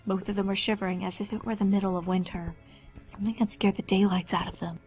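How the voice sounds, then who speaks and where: A woman's voice: medium pitch, fairly even intonation, fast talker, darkish tone, soft background music. A woman reading fan fiction aloud as scripted story narration.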